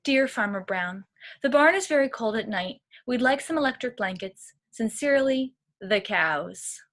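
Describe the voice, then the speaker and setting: A woman voicing polite but insistent storybook farm animals, reading out their demands deliberately and emphatically like a letter. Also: a little high, animated, a bit bright. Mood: polite request.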